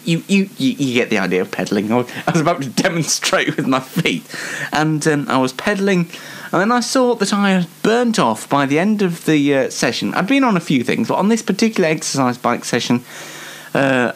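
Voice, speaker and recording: Young British man, animated rambling chat. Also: a little high. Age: young adult. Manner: chatty, rambling, self-deprecating. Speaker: host of a personal show. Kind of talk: casual anecdotal monologue.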